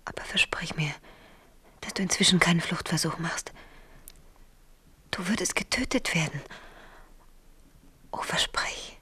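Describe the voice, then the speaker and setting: An actress playing a proud young princess and queen in a radio play, with a breathy, whispery voice and an intense, formal manner. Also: lowish voice, unhurried, pauses often, fairly bright tone, full character voice. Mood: urgent, secretive. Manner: intimate, formal, emotionally intense. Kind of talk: 1970s German radio play acting.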